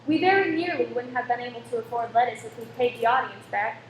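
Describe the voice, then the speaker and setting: A firm but kindly married woman from a radio play, acted by a woman. Her voice is high and composed, heard in an echoey room with a little noise. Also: expressive, fast, close to an everyday voice. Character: Winnie, brisk, kindly cinema owner's wife. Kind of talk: amateur radio play acting.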